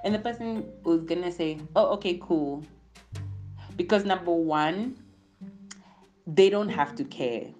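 A woman's voice: on the low side, measured pace, pauses often, highs cut off. A woman speaking candidly in a casual motivational monologue, acting out what people say. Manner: candid, animated, acts out quoted remarks. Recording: music playing underneath.

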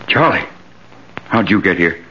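A man's voice: sits low, lively intonation, unhurried, sharp tone, full character voice. An actor playing a terrified, cornered man who stammers and pleads, in the style of a 1940s radio thriller. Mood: pleading. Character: terrified cowardly man, cornered and begging for his life. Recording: muffled, faintly noisy old radio recording, echoey.

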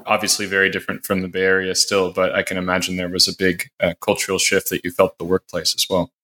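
Male interviewer, deep voice, flat delivery, bright tone, measured. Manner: measured, with frequent short affirmations. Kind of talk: conversational interview questions.

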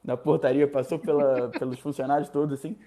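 A young man from Rio de Janeiro with a Carioca accent, casually telling a personal story, animated and slangy, acting out other people's lines. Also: fairly high pitch, a little fast. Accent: Carioca Brazilian Portuguese. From Rio de Janeiro, Brazil.

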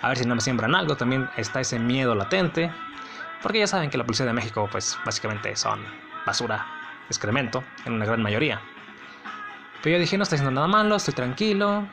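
A male podcast host with a Mexican accent and a mid-pitched voice full of gravelly creak. He tells his story casually and bluntly, wandering as he goes with a sardonic edge. Music plays clearly behind his voice. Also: animated, fast.

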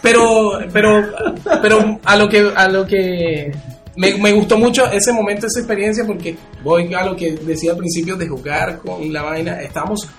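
A man in his late twenties, a musician guest, talking excitedly and laughing often. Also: high voice, normal speed, reverberant, faint music behind. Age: 20s. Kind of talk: casual podcast chat.

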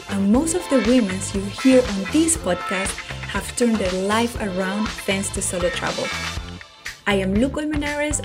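A woman hosting a podcast, introducing the show and a guest in a friendly, upbeat way. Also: medium pitch. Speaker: podcast host. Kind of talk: podcast interview. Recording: faint music behind.

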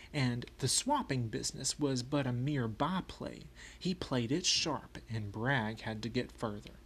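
A man's voice: medium pitch, fairly bright tone, natural voice. A man voicing a folksy Confederate private with a Southern US accent, telling war memories as a first-person memoir.

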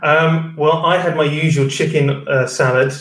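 A man's voice: a little high, fairly even intonation, normal speed, a bit bright. A man hosting a casual webinar, rambling with lots of fillers and "kind of" hedges. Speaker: stand-in host. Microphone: video call. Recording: roomy sound, distant from the mic.

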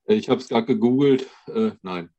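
A man's voice: mid-range voice, a little slow, dark tone, highs cut off. A man chatting casually as a podcast co-host. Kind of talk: casual chat.

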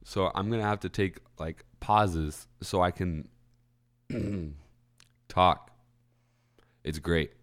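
Young man, very low voice, stuffed-up nose, rambling college radio host, clears his throat. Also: slow, long pauses, a bit bright. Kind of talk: casual solo podcast chat. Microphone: studio microphone.

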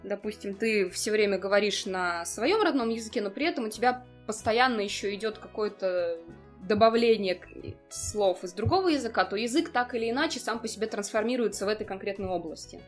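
A woman's voice: medium pitch, expressive, fairly quick, faint music behind. A young woman in a casual conversation, explaining at length with lots of fillers. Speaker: interview guest.

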